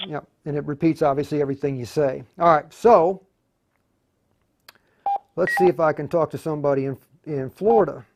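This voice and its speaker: Higher-voiced man giving a casual tutorial. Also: fairly even intonation, normal speed, pauses often, darkish tone. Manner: informal, stop-and-start explaining, thinking aloud. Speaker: livestream tutorial host, amateur radio operator. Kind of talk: casual hands-on demonstration.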